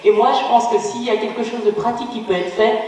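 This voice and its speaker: A woman's voice: fairly high pitch, steady tone, fast talker, mellow. Woman speaking earnestly in a hall. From Belgium. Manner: earnest, searching, many restarts and fillers. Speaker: conference participant. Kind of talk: public speech at a conference. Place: large parliament hall. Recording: reverberant hall, distant mic, faint room noise.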